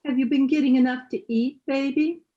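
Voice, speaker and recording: Lady doing a prim meddling older mom from radio comedy, highish, babies her son, bit dull recording. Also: fairly even intonation, dark tone, lightly acted. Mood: doting. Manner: cooing, fussy, drawn-out delivery. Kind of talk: old-time radio sitcom acting. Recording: slightly dull video-call sound.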